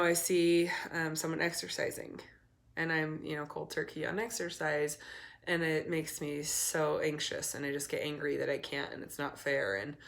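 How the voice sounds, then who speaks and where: Hoarse young woman coach, deep raspy voice, earnest and candid, lots of ums. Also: a bit bright. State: hoarse from a cold. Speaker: eating disorder recovery coach. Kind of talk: casual talk to camera.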